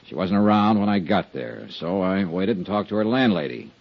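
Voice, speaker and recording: A man's voice: low-pitched, moderate pace. An American actor playing a tough insurance investigator who narrates his own case in a 1950s radio detective drama. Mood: businesslike, guarded. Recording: muffled old radio broadcast, music behind.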